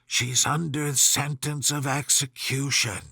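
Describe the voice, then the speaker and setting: A man acts out a timid storybook rabbit, fretful and fussy. He speaks in a hushed, hurried way with a nervous stammer, bright and close in a dry recording. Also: medium pitch, level tone, gravelly, breathy edge, no room sound, exaggerated voice. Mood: anxious, hushed.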